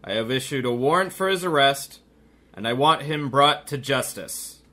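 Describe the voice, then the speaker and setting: A man's voice: mid-range voice, lively intonation, moderate pace, sharp tone. An actor playing a stern middle-aged police commissioner addressing his men, officious and commanding, in a 1930s-style radio drama.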